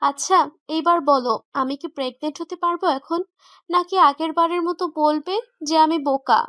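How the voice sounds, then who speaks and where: A woman's voice: high voice, fairly even intonation, moderate pace, a bit bright, no echo, clean studio sound. A woman playing a childish young woman in love, whining, sulky and pleading, in a romantic audio story. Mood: sulky, pouting. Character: young woman in love, childish, pouting, whiny wife or girlfriend.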